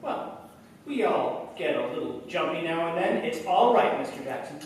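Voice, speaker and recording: A man's voice: on the high side, very animated, moderate pace, recorded from a distance. Man acting as folksy postman in a roomy hall. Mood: mild, puzzled. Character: mild, obliging small-town postman and father, slightly hesitant. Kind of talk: 1940s radio drama acting on stage. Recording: roomy stage recording from afar, faint noise.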